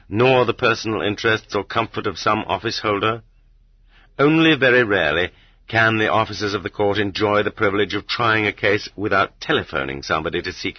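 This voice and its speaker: A man narrating a literary audiobook in a deliberate, rhetorical, sardonic manner, with a husky voice, on a dull cassette transfer. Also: lowish voice, no echo.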